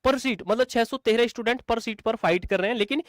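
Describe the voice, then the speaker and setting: A man's voice: very high voice, a little fast, dry recording, clean studio sound. A man explaining exam updates informally to students, repeating points and posing rhetorical questions. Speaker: exam coaching teacher.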